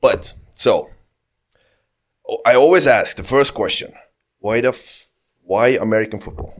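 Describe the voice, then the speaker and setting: A man from Estonia, a native Estonian speaker, hosting a podcast in English, casual and quick to laugh, with loose fillers and the odd swear. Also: medium pitch. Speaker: podcast host.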